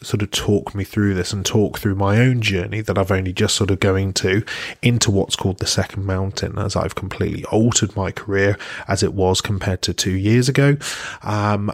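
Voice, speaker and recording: A man's voice: deep voice, little pitch movement, normal speed, vocal fry, no room sound, studio quality. A man giving an informal, explanatory personal book recommendation as a podcast guest. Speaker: podcast guest, GP partner reviewing books.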